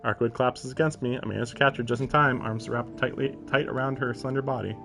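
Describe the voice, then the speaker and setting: A man's voice: medium pitch, fairly even intonation, quick pace. Casual male streamer reading aloud over music. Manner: informal, questioning asides between read passages. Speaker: live streamer reading a visual novel aloud. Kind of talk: reading narration aloud with casual, puzzled running commentary.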